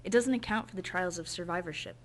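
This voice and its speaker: Female documentary narrator: earnest, measured scripted read, lowish pitch.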